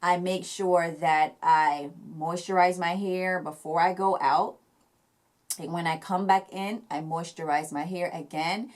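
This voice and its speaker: A woman's voice: fairly low pitch, steady tone, unhurried. A young woman with a Jamaican accent, chatting casually to camera about her hair care, with lots of fillers and restarts. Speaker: hair vlogger.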